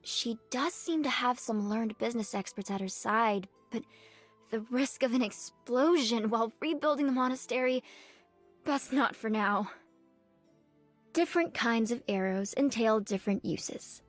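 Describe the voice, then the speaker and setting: A woman voicing an earnest, dutiful young nun archer in a video game, speaking politely and formally in measured phrases. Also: on the high side. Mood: proud, reflective. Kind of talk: scripted game character voice lines. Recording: clean dry studio, music behind.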